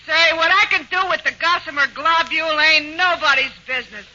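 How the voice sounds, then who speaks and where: An actress playing a brassy, slangy young burlesque bubble dancer in a 1940s radio comedy, on a lo-fi old broadcast. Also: female voice, high voice, a little fast, pushed and bright. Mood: boastful. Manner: slangy, tough and cocky.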